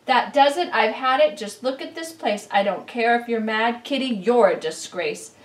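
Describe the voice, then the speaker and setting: A woman voicing a dramatic, exasperated pet owner in a storybook, emphatic and loud. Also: fairly high pitch, normal speed, lightly acted. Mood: exasperated, scolding. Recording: roomy, distant camera microphone.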